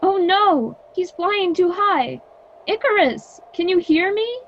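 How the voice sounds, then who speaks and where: A woman's voice: extremely high pitch, expressive, a little hiss or hum, exaggerated voice. A woman playing a worried, earnest father and inventor in an amateur radio drama, on a slightly dull recording. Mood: alarmed. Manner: earnest, deliberate, instructive.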